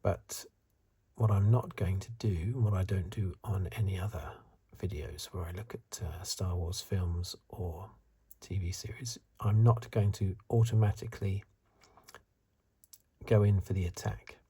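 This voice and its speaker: Very hushed, breathy low man. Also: steady tone. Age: 50s. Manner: hesitant, halting, unscripted musing. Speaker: host of an ASMR video channel. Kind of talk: soft-spoken whispery ASMR commentary.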